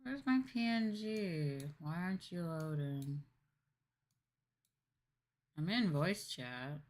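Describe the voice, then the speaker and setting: A woman's voice: low voice, lively intonation, slow, a little mellow. A woman livestreamer chatting casually and thinking aloud, muttering a little hesitantly to herself. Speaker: VTuber livestreamer.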